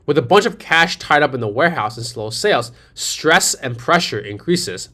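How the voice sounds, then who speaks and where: Persuasive man pitching ad strategy. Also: animated, moderate pace, pushed and bright. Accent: New York. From New York City. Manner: persuasive, rhetorical questions, repeats key phrases. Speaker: marketing agency founder. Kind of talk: sales presentation over slides.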